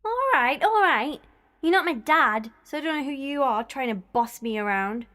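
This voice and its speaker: A woman's voice: high-pitched, expressive, normal speed, a bit bright. A woman playing a feisty, homesick young female companion in an audio drama, pleading and defiant.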